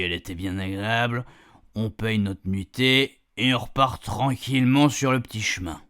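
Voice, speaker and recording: A man's voice: lowish voice, no room sound, studio quality. Man acting a folksy, grumbling older French camper. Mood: dreamy, delighted. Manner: colloquial, expressive, savouring words, grumbling asides. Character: chatty, grumbling, nostalgic married man, an amateur camper. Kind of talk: first-person storytelling in a radio fiction podcast.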